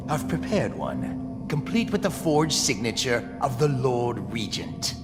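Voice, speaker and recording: A man's voice: mid-range voice, very animated, a little slow. An actor playing a bitter, scheming former businessman who speaks in a persuasive, resentful way, in a game. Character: bitter, scheming ruined businessman seeking revenge. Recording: reverb with loud game music.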